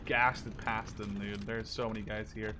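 A man in his twenties hosting a gaming video, giving casual, excitable commentary and swearing often. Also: on the low side, normal speed, over a noisy crowd. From Netherlands. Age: 20s. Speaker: gaming channel host. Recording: noisy game audio behind voice.

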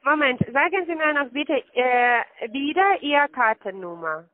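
A woman's voice: high voice, expressive, unhurried, no room sound. A woman call-centre agent with a foreign accent, speaking non-native German on a phone call, hesitantly checking and repeating details. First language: not German. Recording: dull band-limited phone line.

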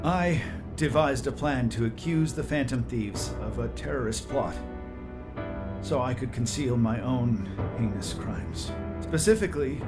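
An actor playing a remorseful middle-aged tech executive in a video game, speaking formally and solemnly as if making a public confession. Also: male voice, medium pitch, over music, natural voice. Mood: grave, resolute. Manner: solemn, formal, deliberate phrasing. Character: remorseful male tech CEO confessing his crimes. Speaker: company chief executive.

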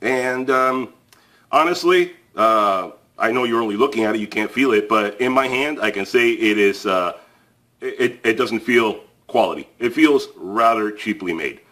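A man's voice: lowish voice, measured pace, bright, forceful tone. A man hosting a tool review channel, talking casually to the camera with plenty of fillers and restarts while handling a tool on a table. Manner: chatty and plain-spoken, frequent fillers and restarts. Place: indoors at a table, filmed from above.

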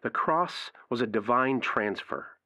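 A man playing an earnest radio preacher in an audio drama, quoting scripture emphatically and deliberately. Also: mid-range voice, animated, bright, forceful tone, no room sound. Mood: earnest, assuring.

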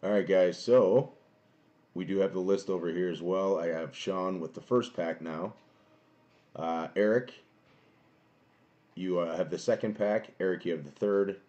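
Low booming guy on a dull home stream. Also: fairly even intonation, measured pace, long pauses, dark tone. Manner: chatty, greets viewers by name. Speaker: card box break streamer. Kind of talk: casual live-stream chat. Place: small home room, indoors.

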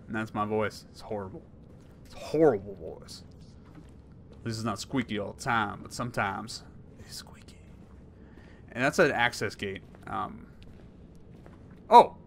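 A man in his thirties commenting on a horror game he plays, rambling and jokey, swinging from mumbles to yells. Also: fairly low pitch, a little slow, pauses often, a little hiss or hum. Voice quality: hoarse at times. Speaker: gaming YouTuber. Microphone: headset.

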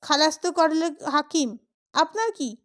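A blustering, cranky elder brother from an audio short story, played by a woman narrator. The voice is high-pitched, with a brusque, scolding, bossy delivery. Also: animated, measured pace. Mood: gruff, indignant.

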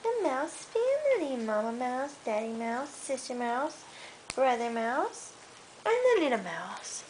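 A woman's voice: high-pitched, big pitch swings, takes it slowly, a little mellow. A young woman reading a picture book aloud to a toddler and chatting in a sing-song, coaxing way, recorded on a handheld camera at home. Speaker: parent reading a bedtime story. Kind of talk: reading aloud to a toddler, casual child-directed talk. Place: carpeted living room, sitting on the floor. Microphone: handheld camera a short distance away. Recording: noisy home camcorder sound, handling clicks.

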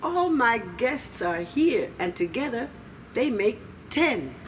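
A woman's voice: fairly high pitch, very animated, voice pushed up. A woman voicing a wily, cheerful old grandmother in a storybook, emphatic and drawing things out to stall. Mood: delighted. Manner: sing-song, emphatic, drawn-out stalling. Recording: distant mic, noisy room ambience.

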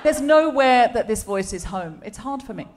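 English-accented woman hosting a live comedy podcast on stage, chatty and self-mocking, bouncy pitch. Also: lively intonation, normal speed, background noise. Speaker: podcast host. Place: theatre stage before a live audience.

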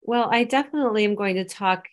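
A woman's voice: mid-range voice, fast talker. A woman hosting a podcast, asking long, rambling questions in an encouraging, conversational way. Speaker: podcast host. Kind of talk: conversational interviewing.

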